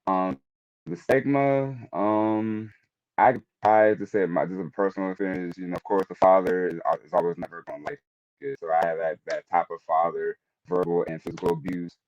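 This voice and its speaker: Very deep-voiced man, hesitant, dull dry audio. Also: fairly even intonation, darkish tone, no echo. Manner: hesitant, halting. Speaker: panelist. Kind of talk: informal spoken reflection.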